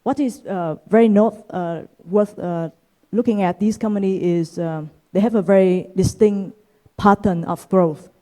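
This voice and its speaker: A woman's voice: mid-range voice, mellow, rough recording. A woman in her thirties from Vietnam, giving a conference keynote talk with frequent "uh" fillers. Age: 30s. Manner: frequent "uh" fillers, measured delivery. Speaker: keynote speaker, venture capitalist. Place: conference stage.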